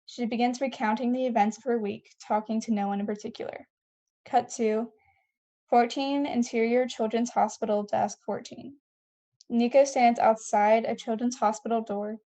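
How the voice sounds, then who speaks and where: A woman's voice: on the high side, dark tone, low-quality recording. A woman reading scene headings and stage directions as the narrator of a scripted table read.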